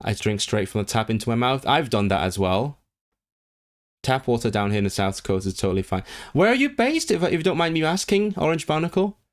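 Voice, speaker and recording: A man's voice: medium pitch, sing-song, brisk. A man chatting casually on his own livestream, rambling with frequent restarts and fillers. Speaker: language streamer.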